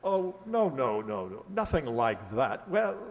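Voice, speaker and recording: A man's voice: medium pitch, very animated, unhurried. An actor playing a flustered, hesitant middle-aged English lord and father in a radio play. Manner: hesitant, fussy, stammering. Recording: echoey hall, long reverb.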